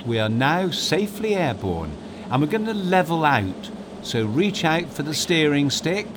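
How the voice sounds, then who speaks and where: Slow-paced man narrating over aircraft noise. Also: mid-range voice. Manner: instructive, addresses listener directly. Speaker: narrator, host. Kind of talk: scripted second-person guided flight narration. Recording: steady aircraft cabin noise behind. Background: aircraft ambience and engine hum.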